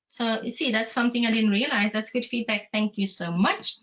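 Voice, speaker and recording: A woman's voice: on the high side, fairly quick, a crowd in the background. A woman whose first language is not English, chatting casually and self-deprecatingly as an interview host. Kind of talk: casual online conversation. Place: remote video call. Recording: dull lo-fi video-call audio.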